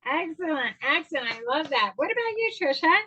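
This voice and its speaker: A woman hosting a talk show in a high, bright voice. She is effusive and enthusiastic, quick to praise her guests and move to the next question. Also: very animated, dull audio, a little hiss or hum. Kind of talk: casual show chat.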